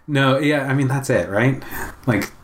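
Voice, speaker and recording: A man's voice: medium pitch, unhurried, background noise. A man with a congested chest, chatting casually in a weary, wry, griping way. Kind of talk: casual chat.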